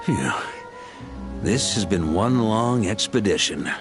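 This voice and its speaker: A seasoned knight grandmaster for a video game, warm and a little weary. His delivery is measured and thoughtful, yet the pitch moves freely with feeling. Soft music plays underneath. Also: expressive. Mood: solemn, tender.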